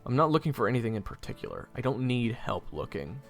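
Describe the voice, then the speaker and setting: A man's voice: mid-range voice, sing-song, brisk, faint music behind. A man playing a flippant, evasive young adventurer in an audio roleplay, wry and casual with awkward hesitations. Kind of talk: audio roleplay acting with first-person narration.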